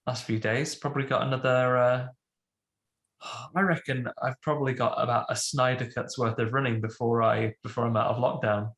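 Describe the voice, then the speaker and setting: A man's voice: mid-range voice, fairly even intonation, normal speed, a bit bright, roomy sound. A man co-hosting a podcast, chatting casually and a bit snuffly from a cold, often restarting his sentences. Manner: rambling, self-interrupting. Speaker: podcast co-host. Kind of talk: casual chat.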